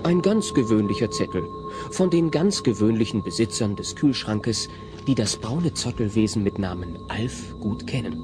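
A man's voice: lowish voice, expressive, moderate pace, over music, natural voice. A man playing the narrator of a comedy radio play, telling the story with wry suspense.

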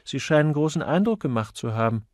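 Eager, coy young woman read by a man, raised pitch. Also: lively intonation, a little fast, no echo, studio quality, lightly acted. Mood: chatty, careful. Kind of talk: audiobook character dialogue.

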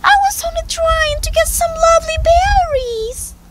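A woman with an Indonesian accent voicing a tiny, timid female mouse in a children's story, squeaky and plaintive. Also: unhurried, very noisy. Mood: frightened, pleading. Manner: pleading, plaintive.

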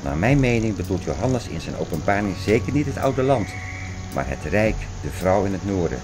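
Man acting low-voiced, insistent prophecy theorist, Klaus. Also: very animated, moderate pace, background noise. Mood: fervent, lecturing. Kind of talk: radio play dialogue, insistent lecturing and reciting.

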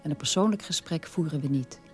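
An actor playing a middle-aged, estranged son in a radio drama, telling his story in a measured way. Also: a little high, soft background music, lightly acted. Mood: resigned, estranged.

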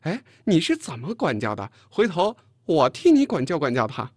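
一位男演播者在有声书里演一个爱捉弄人、又爱发号施令的年轻女同事，时而撒娇，时而数落。. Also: male voice, high voice, very animated, husky, no room sound, studio quality, pitched above the performer's own voice, full character voice. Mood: huffy, scolding. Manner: mocking, quick to pout and scold. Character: brash teasing young woman colleague, playful and bossy. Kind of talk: audiobook character dialogue.

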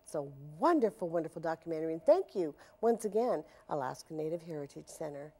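Woman, middle-aged, news host, animated intonation, studio. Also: sits low, quick pace. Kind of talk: scripted news presenting. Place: television studio.

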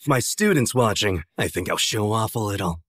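A man's voice: lowish voice, lively intonation, moderate pace, fairly bright tone, husky, dry recording, studio quality. An American man playing a cocky, playful young sorcerer teacher in an English anime dub, with a breezy manner.

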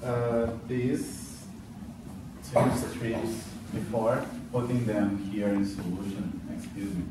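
Hesitant Brazilian man teaching, roomy and distant. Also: on the low side. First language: Portuguese. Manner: hesitant, many fillers and restarts. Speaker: student teaching a practice class. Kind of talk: classroom lesson. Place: classroom with whiteboard. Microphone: far camera at back of room. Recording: echoey room from far off, noisy.

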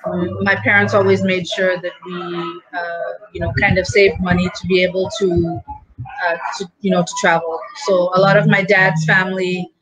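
A woman's voice: on the low side, flat delivery, normal speed, music in the background, low-quality recording. A woman from Guyana answering interview questions over a video call, hesitant with many fillers and a dry humor. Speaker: guest. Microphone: video call webcam.

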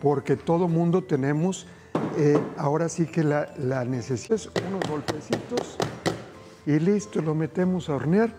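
A man's voice: a little high, normal speed, over loud music. A middle-aged man hosting a cooking show, cheerfully walking viewers through a recipe. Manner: enthusiastic, addresses viewers directly. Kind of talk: cooking demonstration. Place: TV studio kitchen set.

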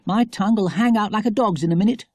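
A man's voice: high voice, fast talker, no echo, pitched above the performer's own voice. A man voicing a plaintive, complaining little schoolgirl in a storybook.

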